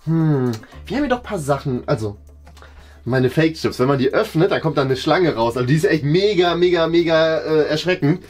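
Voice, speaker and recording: A young man playing a bossy, self-important older-brother boy in a kids' comedy skit, with a hesitant, drawn-out delivery. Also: fairly high pitch, animated, close to an everyday voice. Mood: scheming. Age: young adult. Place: furnished room indoors. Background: background music.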